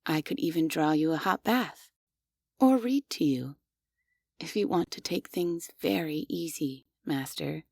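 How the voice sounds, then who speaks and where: A woman voicing a devoted, obsessive female android maid in an audio roleplay, speaking softly and formally with quietly unsettling questions. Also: sits low, measured pace, vocal fry, no room sound, studio quality, exaggerated voice. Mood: gentle, doting. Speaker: voice actress.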